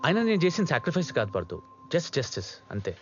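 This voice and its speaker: A man's voice: medium pitch, big pitch swings, fast, natural voice. An actor playing a generous, encouraging young archer in a film drama, speaking earnestly and switching into English phrases. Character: generous, encouraging young archer who gave up his place. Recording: dull film audio with music.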